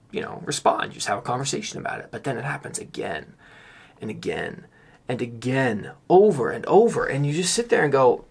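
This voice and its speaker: A slightly hoarse man giving a candid, earnest vlog monologue, speaking emphatically as someone a little under the weather. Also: medium pitch. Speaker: YouTuber on real estate investing.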